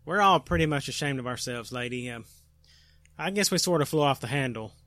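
A man's voice: medium pitch, animated, brisk, sharp tone, no room sound. A man playing an ashamed, awkward small-town fellow in a 1940s radio drama.

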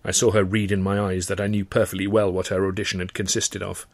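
A man with a fairly low voice narrates a first-person story with dramatic expression. He often delivers short, clipped phrases, and the recording is dry and close. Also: level tone, fast talker, no room sound. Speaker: author reading his own novel.

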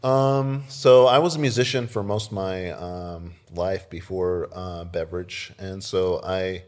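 A man with a low, deep voice talks as a guest in a casual interview. He is from South Texas, and his manner is easygoing and joking, with answers that come out haltingly. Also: steady tone, a little mellow. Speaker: podcast guest, winemaker.

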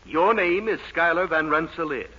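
An actor playing a solemn, commanding psychiatrist hypnotist in a 1940s radio comedy, intoning his words slowly and theatrically. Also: fairly high pitch, bright, forceful tone, husky. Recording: dull old radio recording with music.

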